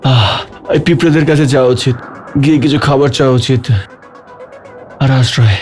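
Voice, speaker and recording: A man voicing a lazy, carefree, mocking grasshopper in a children's cartoon story, with a drawn-out, theatrical delivery. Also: mid-range voice, fairly even intonation, faint music behind, natural voice. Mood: desperate.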